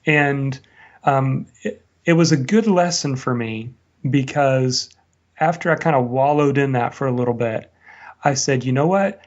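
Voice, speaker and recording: The voice is a man's, at an ordinary middle pitch. He speaks as a guest in an interview, telling reflective stories and passing on advice conversationally. The sound is somewhat dull and low in fidelity. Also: measured pace. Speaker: interview guest, blogger and online business teacher.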